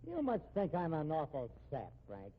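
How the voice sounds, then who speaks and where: An actor playing a bitter fugitive killer in a 1950s radio drama, with slangy, sneering American speech. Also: male voice, a little high, wildly swooping pitch, normal speed, breathy edge, full character voice. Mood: hostile, barking. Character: cornered fugitive killer, tough, bitter, working-class brother. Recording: muffled, tinny old radio recording.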